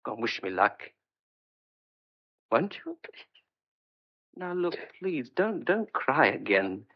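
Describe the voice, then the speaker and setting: A muffled, lo-fi radio drama recording of a fugitive wrongly suspected of murder. He is earnest and stubborn, with a tender side, and talks in hesitant, clipped, old-fashioned phrases at a medium pitch. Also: sing-song, slow pace, breathy edge, full character voice. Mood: tender, resigned.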